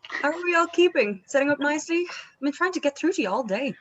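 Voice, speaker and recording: A woman's voice: sits high, animated, fast, a bit bright, lo-fi sound. A woman playing a cheerfully unbothered band member in a comedy table read, breezy and nonchalant. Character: cheerfully unbothered bandmate phoning from danger.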